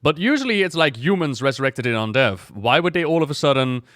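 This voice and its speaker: A man with a clear, smooth voice of average pitch gives casual game reaction commentary. He thinks aloud like a streamer chatting to viewers, recorded dry and close. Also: very animated, fairly quick, fairly bright tone, dry recording.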